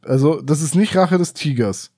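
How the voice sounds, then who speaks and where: Typ, trockene aufnahme, witzelt beim quiz rum. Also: male voice, a little high, normal speed, a little mellow, breathy edge, no echo. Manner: dry joking, tired. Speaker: podcast co-host. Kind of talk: casual podcast chat.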